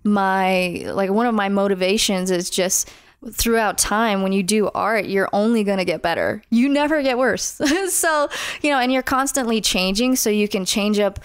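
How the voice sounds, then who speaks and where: Smooth-voiced woman, casual podcast guest. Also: mid-range voice, moderate pace, no room sound, studio quality. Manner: animated, acts out her own inner voice. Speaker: guest musician.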